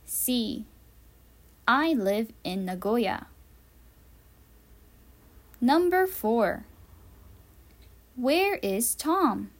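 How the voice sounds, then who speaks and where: A woman teacher reads out a learners' quiz, pausing between each answer choice. Her delivery is very slow and deliberate, each word carefully enunciated. Her voice sits a little high. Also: lively intonation. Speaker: English teacher.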